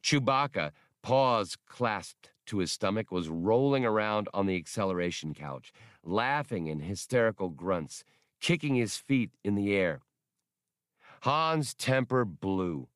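A male audiobook narrator with a deep, low voice and a slow, unhurried delivery. He tells the story in a measured, even way, and the sound is dry and close. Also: no room sound.

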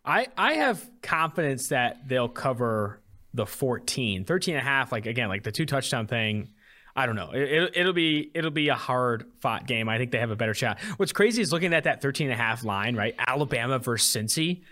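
A man's voice: mid-range voice, animated, brisk, pushed and bright. A man hosting a sports podcast, casually analysing games and betting in an emphatic, mildly profane way.

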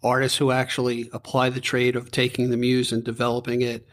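A chatty man in his fifties hosts an interview, rambling through his questions. His voice sits at a middle pitch. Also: monotone, brisk. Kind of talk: casual interview.

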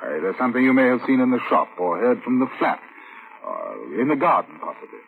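Courteous police inspector, formal and methodical, animated pitch swings, phone-like vintage radio sound. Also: male voice, vocal fry. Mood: polite questioning. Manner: formal, measured, probing questions. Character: methodical, courteous male police inspector narrating his investigation. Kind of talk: 1940s-1950s radio drama acting and first-person narration. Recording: tinny, hissy vintage radio recording.

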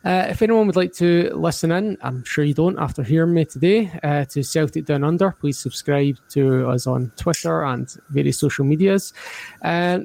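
A man with a Scottish accent that mixes Glasgow and Highland sounds, hosting a casual football podcast over a video call in a relaxed, filler-heavy way. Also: normal speed, gravelly. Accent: Scottish, mix of Glasgow and Highland. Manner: relaxed, frequent uh fillers, signing off the show. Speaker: podcast host. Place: hot room abroad, video call.

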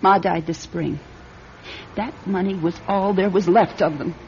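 An actress playing a tough, streetwise young hostess who talks bluntly in slang, in an old-time radio detective drama. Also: female voice, lowish voice, fairly even intonation, a little slow, a little hiss or hum. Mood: bitter, hurt. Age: young adult. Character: tough, streetwise young nightclub hostess, guarded but wounded. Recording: dull radio recording, music behind.

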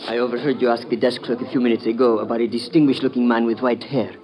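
A man's voice: medium pitch, level tone, crowd noise, close to an everyday voice. An actor playing a worried, formal male informant in 1950s radio drama style. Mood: polite, anxious. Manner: formal, urgent, deliberate. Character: earnest, worried male East European informant. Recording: dull old radio recording.

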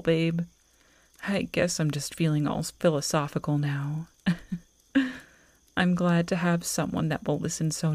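A woman playing an affectionate, playful girlfriend in a soft, intimate ASMR audio roleplay, close to the microphone. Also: sits low, no room sound. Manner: intimate, teasing, slow and cosy. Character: affectionate, playful werewolf girlfriend on a camping trip. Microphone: close microphone, dry.

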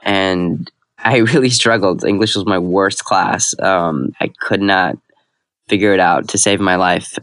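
Young guy from iraq telling his story, lowish voice, dry close sound, lots of restarts. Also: no room sound. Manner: reflective, with frequent restarts and fillers. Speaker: guest. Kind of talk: personal storytelling in an interview.

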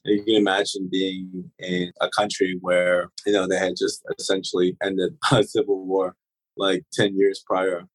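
A man in his thirties with a fairly low voice tells stories as an interview guest. He talks in a relaxed, easygoing way, full of fillers and restarts, and now and then he laughs as he speaks. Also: low-quality recording. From Turks and Caicos. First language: German. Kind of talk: casual interview storytelling.

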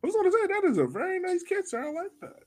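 A man's voice: extremely high pitch, very animated, rapid-fire. A man hosting a casual sports podcast, chatting playfully with his guests. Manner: playful, addresses guests as sir. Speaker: podcast host.

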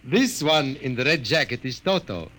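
A man's voice: medium pitch, big pitch swings, normal speed, natural voice. Man doing a showy creepy doll master, voice swoops all over, faint music behind. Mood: boastful. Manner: theatrical, boastful, foreign-sounding. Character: grandiose, mysterious old male doll maker. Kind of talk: 1950s radio drama acting.

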